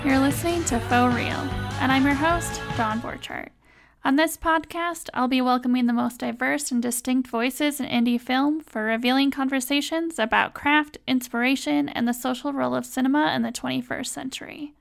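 Woman, higher voice, podcast host, scripted intro, measured questions. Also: fairly even intonation, creaky, faint music behind.

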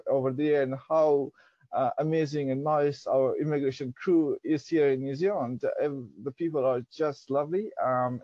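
A man's voice: medium pitch, warm tone, dry recording, dull audio. A young man from Pakistan whose first language is Dari, telling his story as an interview guest, hesitant with many fillers. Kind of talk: reflective personal storytelling.

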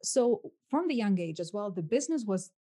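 A woman's voice: mid-range voice, sing-song, brisk, mellow. A woman in her thirties with a Lithuanian accent, a native Lithuanian speaker, answering interview questions conversationally as a podcast guest and coach. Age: 30s.

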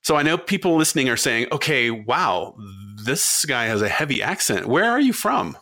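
A man's voice: medium pitch, very animated, moderate pace, gravelly, pushed and bright, no room sound, clean studio sound. A man hosting a podcast, introducing his guest and announcements in an upbeat, conversational way. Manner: upbeat promotional, conversational with fillers. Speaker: podcast host.

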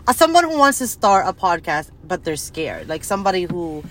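A young woman with a deep voice hosting a casual podcast, laughing, teasing and swearing freely. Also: sing-song, normal speed, a bit bright, poor audio. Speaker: podcast host.

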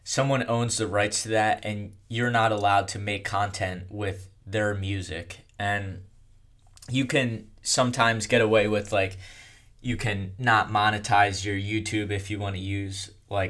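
A young man telling his own story in a casual, rambling way, with a monotone delivery and lots of fillers. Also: fairly low pitch, measured pace. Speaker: content creator.

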